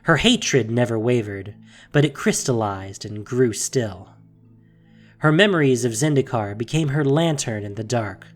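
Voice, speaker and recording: Solemn male narrator over soft music. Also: mid-range voice, animated. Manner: solemn, deliberate dramatic pauses. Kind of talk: dramatic scripted story narration.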